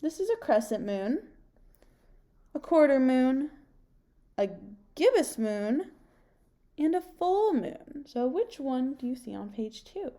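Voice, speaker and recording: A young adult woman reading a picture book aloud like a teacher, pausing and putting questions to her young listeners. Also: high-pitched, big pitch swings, mellow. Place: home kitchen, indoors.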